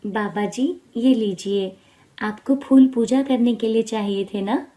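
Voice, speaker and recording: A woman voicing a kind, helpful young village woman in a storybook tale, soft, gentle and polite. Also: a little high, unhurried, close to an everyday voice, poor audio. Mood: gentle, respectful.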